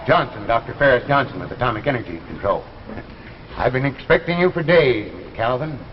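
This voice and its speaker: An actor playing an assured, mysterious government scientist, speaking formally and with measured authority in 1950s sci-fi drama style. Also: male voice, mid-range voice, lively intonation, close to an everyday voice. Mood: assured, persuasive. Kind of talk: 1950s science fiction anthology acting. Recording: muffled, noisy, reverberant 1950s broadcast.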